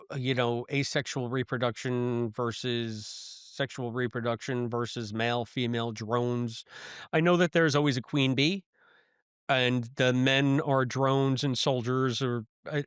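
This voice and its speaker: A man's voice: mid-range voice, smooth, no echo, clean studio sound. A man co-hosting a podcast, chatting casually about pop culture in a playful, jokey way. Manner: playful, jokey, animated reactions. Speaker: podcast co-host.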